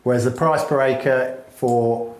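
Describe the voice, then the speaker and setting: A man's voice: medium pitch, steady tone, unhurried, a little mellow, reverberant. A man explaining land buying at length in a casual chat, with many fillers and restarts. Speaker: smallholding expert.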